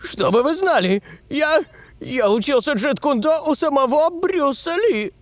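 A man's voice: very high-pitched, animated, a little slow, faint music behind. A man voicing a flustered, pleading elderly professor in a cartoon, an eccentric and overdramatic inventor. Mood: defensive. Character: frantic elderly male professor, eccentric inventor, held captive. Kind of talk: cartoon voice acting.

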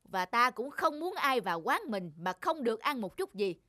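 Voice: mid-range voice, very animated, normal speed, very noisy, natural voice. An actor playing a greedy, callous male innkeeper in a cartoon, talking in a sly, mocking manner. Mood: mock-generous. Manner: sly, scornful.

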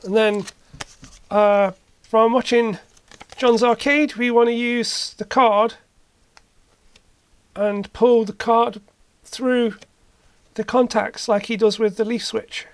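A hobbyist repairer talks through hands-on work in a casual way. The pace is very slow, as the speaker thinks aloud between steps. The sound is dry, with little room around the voice. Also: medium pitch, fairly even intonation, smooth, no room sound. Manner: thinking aloud while working. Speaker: hobbyist repairer, video presenter. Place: indoor workbench.

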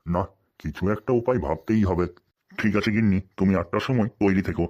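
A wily, tight-fisted cartoon husband played by a man with a low-pitched voice and a rural western Bengal accent. He talks in a folksy, wry way, always a bit henpecked. The voice track sounds dull and lo-fi. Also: fairly quick, a little mellow, lightly acted. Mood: placating. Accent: rural western Bengal Bengali dialect. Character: sly, penny-pinching henpecked husband in a comedy cartoon. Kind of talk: comic cartoon dialogue.

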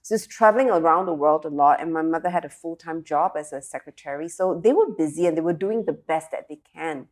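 A woman's voice: low voice, a little fast, few pauses. A woman in her forties from Singapore, a guest telling her story in a studio interview with a lapel mic, reflective and earnest, with fillers and restarts. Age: 40s. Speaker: interview guest, emotional resilience speaker. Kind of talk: candid interview conversation. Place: studio set with sofas.

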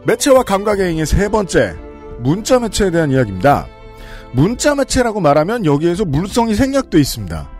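A man's voice: high-pitched, huge pitch swings, brisk, a little mellow, soft background music. A man hosting a casual studio talk show, playful and teasing, talking into a studio mic with headphones. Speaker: podcast host. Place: small radio studio.

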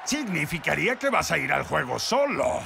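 A man voicing a nosy cartoon animal ticket seller in a gravelly voice. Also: fairly high pitch, very animated, normal speed, a little hiss or hum, full character voice. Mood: nosy, surprised. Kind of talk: cartoon voice acting. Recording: loud music behind.

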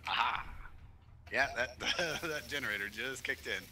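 A man's voice: medium pitch, unhurried, sharp tone, far from the mic, a little hiss or hum. A man chatting casually as a co-player in a game, with crude, goofy banter. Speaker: co-player in a gaming video. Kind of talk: casual joking gameplay chat.

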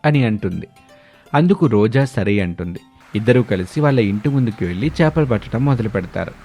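A man narrating an animated moral tale as a scripted storyteller. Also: fairly low pitch, fairly quick, husky, faint music behind.

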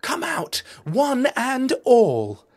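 A man voicing excited little crabs, calling out in a loud, drawn-out, exuberant way. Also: very high voice, pitched above the performer's own voice, full character voice. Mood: excited, reassuring.

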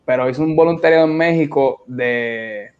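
A man's voice: mid-range voice, moderate pace, clear, resonant tone. A young man with a Puerto Rican accent chatting informally as an interview guest over a video call. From Puerto Rico. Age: young adult. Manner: informal, colloquial. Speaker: guest, beach cleanup organizer. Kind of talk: casual interview conversation. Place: indoor room on a video call. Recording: dull, lo-fi video call audio.

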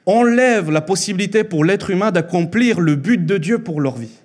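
A man in his thirties, born in Mexico with Spanish as his first language, preaching an earnest sermon in a church hall into a handheld mic, repeating phrases for emphasis and slowing down on key lines. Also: sits high, lively intonation, darkish tone.

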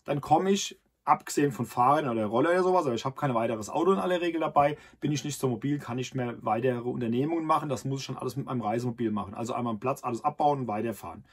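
A man's voice: mid-range voice, very fast, hardly any pauses, breathy edge. A man in his thirties, host of a camping channel, talking casually to the camera from inside a camper, with many fillers and restarts.

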